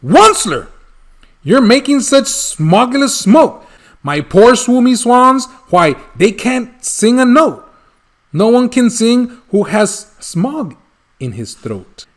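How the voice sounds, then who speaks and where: A man's voice: extremely high pitch, very animated, slow, voice pushed up, full character voice. A man voicing an indignant, bossy old forest creature from a storybook, scolding in emphatic rhyme. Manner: snappish, emphatic rhyming speech.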